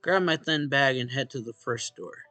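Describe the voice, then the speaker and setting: A man's voice: medium pitch, moderate pace, bright, forceful tone, faint music behind. A man hosting a let's play, reading a visual novel's narration and dialogue aloud and casually acting out the lines.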